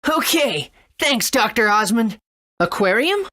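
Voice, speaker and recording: High, eager adventurer boy. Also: sing-song, measured pace, sharp tone, full character voice. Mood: agreeable. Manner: earnest, agreeable. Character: eager, good-natured young adventurer boy. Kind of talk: video game voice acting.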